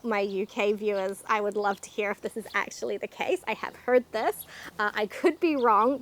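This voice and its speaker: High-pitched New Zealand woman, chatty. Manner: chatty, opinionated, down-to-earth. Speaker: video host, chicken keeper. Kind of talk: casual explanatory vlog.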